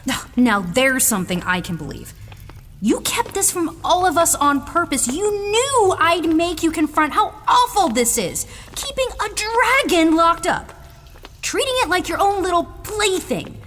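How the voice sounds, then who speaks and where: An excitable young animal lover in an audio drama. Her high voice is righteous and outspoken, leaping about in pitch. It echoes in a long reverb with faint noise. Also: a little slow, heavily acted. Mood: accusing. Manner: eager, outspoken, scolding. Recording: echoey hall with some noise.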